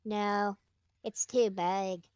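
A woman's voice: medium pitch, steady tone, very slow, darkish tone, smooth, no echo. A woman playing a sassy crude sidekick. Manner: mocking, sassy interjections. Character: crude sidekick friend, egging things on. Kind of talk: prank call comedy.

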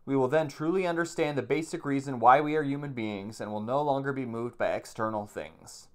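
A man's voice: mid-range voice, fairly quick, darkish tone. A man around forty, a plain-spoken meditation teacher who reads aloud and explains things informally, repeating points for emphasis. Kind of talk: reading aloud from a book with informal commentary. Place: small room at home, indoors.

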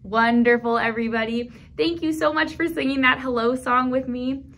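A woman in her thirties leading a toddler storytime, speaking gently in simple phrases for small children as she reads aloud and comments. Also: sits high, normal speed. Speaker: children's librarian, storytime host. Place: small indoor room at a library.

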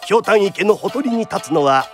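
Guy narrating a radio drama, really animated high voice, storyteller style, music underneath. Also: moderate pace. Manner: theatrical, polite storyteller phrasing.

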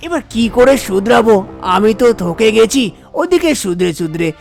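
Man acting a weary old village father, creaky voice. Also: high-pitched, sing-song, moderate pace, faint music behind, exaggerated voice. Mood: worried, downcast. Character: worried, weary father of two idle sons. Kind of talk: Bengali cartoon story dialogue.

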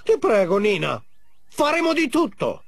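Nervous cartoon mask, hesitant, pleading, swooping intonation, dry close sound. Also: male voice, high-pitched, wildly swooping pitch, a little slow, gravelly, no room sound, heavily acted. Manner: hesitant, flustered. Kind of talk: Italian cartoon dub for a video game.

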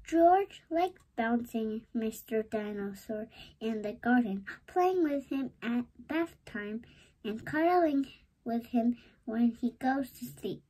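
A woman hosts a read-aloud for young children. Her voice is high and childlike in pitch, and she takes her time. She reads expressively, keeping the delivery simple and animated. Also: takes it slowly, breathy edge, low-quality recording. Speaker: storybook read-aloud host. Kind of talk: animated children's story reading.

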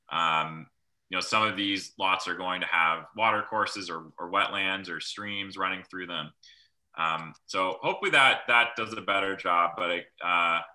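Young male planner, low pitch, sharp forceful tone, halting informal explainer over video call. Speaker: rural planner presenting at a public meeting. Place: small room at home, on a video call. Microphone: computer microphone on video call.

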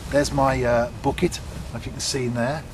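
A middle-aged man talks casually to camera at a lakeside, explaining things in a measured, unhurried way as an angler. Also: mid-range voice, level tone. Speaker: angling vlogger. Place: outdoors at a lakeside. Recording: outdoor camera recording with wind noise.

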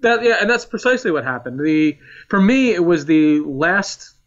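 A man's voice: fairly high pitch, expressive, moderate pace, lo-fi sound. A man in his thirties from Philadelphia, a historian being interviewed on a podcast, talking earnestly with emphatic repetition. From Philadelphia, United States. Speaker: historian guest.

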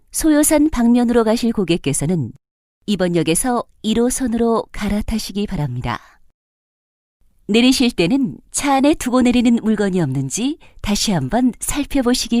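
A woman giving recorded subway announcements in a polite, measured, formal manner, recorded in a studio. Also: mid-range voice, sing-song, a little mellow, no echo.

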